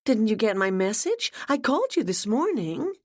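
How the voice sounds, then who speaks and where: A woman doing a bubbly, gushing young woman. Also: moderate pace. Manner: breathless, high and excitable.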